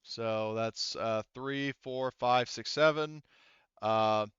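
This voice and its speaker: A man acting as game master for a tabletop game over voice chat, pausing often with ums as he works through the rules. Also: medium pitch, a bit bright, clean tone, no room sound, lo-fi sound. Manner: halting, many ums and restarts while narrating rules. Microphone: headset.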